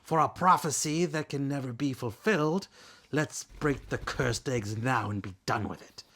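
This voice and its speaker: A man's voice: mid-range voice, moderate pace, bright, forceful tone, slight background noise, pitched above the performer's own voice. A proud, bitter she-dragon acted out by a man snarls and snaps through a bedtime storybook. Her delivery is contemptuous and commanding, lifted a little above the reader's ordinary speaking voice. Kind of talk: bedtime storybook read-aloud.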